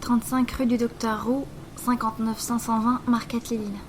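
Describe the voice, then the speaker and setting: Woman acting a sullen teenage girl, highish voice. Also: flat delivery, brisk, slight background noise, lightly acted. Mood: reticent. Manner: hesitant, grudging. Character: sullen, reluctant seventeen-year-old girl who lost her sight. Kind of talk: amateur audio drama acting.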